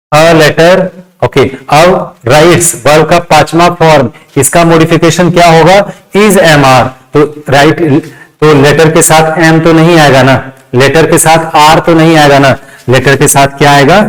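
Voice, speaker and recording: Higher-pitched Indian man teaching. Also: rough recording. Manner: repeats phrases and asks rhetorical check questions. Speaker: English grammar teacher. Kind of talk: classroom lesson with step-by-step explanation.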